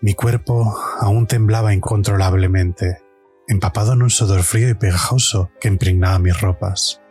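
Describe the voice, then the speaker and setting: A man's voice: fairly low pitch, steady tone, moderate pace, a little mellow, faint music behind, close to an everyday voice. A man playing a suffering hero of a supernatural tale, narrating an audio drama in the first person. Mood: relieved, drained. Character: suffering young male hero surviving a supernatural trial.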